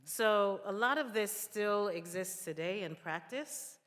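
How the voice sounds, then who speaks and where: A woman's voice: mid-range voice, expressive, slow, a bit bright. A woman giving earnest remarks as a nurse speaking on a panel. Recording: echoey hall with long reverb.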